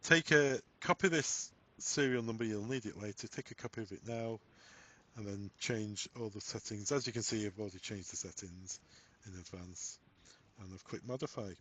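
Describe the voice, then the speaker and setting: A man presenting a software tutorial, giving step-by-step instructions in a voice-over. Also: fairly low pitch, normal speed, husky. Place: voice-over on a screen recording.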